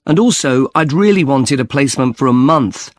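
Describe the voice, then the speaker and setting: A man's voice: medium pitch, lively intonation, moderate pace, no echo, natural voice. A man playing an earnest young student in a scripted listening-test dialogue. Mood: earnest, chatty. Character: earnest young male student on farm placement.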